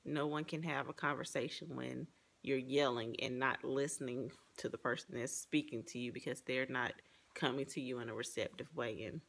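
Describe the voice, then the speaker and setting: Low, creaky-voiced woman presenting. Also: steady tone, moderate pace, a bit bright. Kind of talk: personal reflective presentation, partly read from notes.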